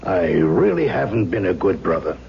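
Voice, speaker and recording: An actor playing an emotional, self-reproaching older brother in an old-time radio drama, plaintive and melodramatic. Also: male voice, deep voice, sing-song, moderate pace, gravelly, full character voice. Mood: effusive. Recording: muffled, hissy old radio drama recording.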